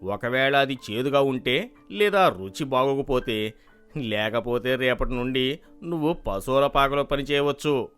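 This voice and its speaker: A man's voice: mid-range voice, a little slow, fairly bright tone, smooth, faint music behind, lightly acted. A man playing a gluttonous, short-tempered landlord with a pompous, commanding manner in a storybook cartoon. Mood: angry. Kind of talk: animated storybook dialogue.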